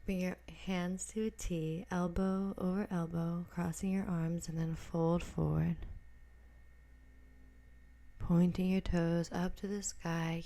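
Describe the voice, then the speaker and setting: A woman instructor guiding a yoga class with a soft, breathy, gentle delivery. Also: on the low side.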